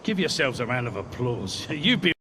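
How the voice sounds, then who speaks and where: An actor playing a blunt, avuncular older racing team boss in a video game cutscene. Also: male voice, mid-range voice, sing-song, normal speed, sharp tone, slightly rough, exaggerated voice. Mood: jovial.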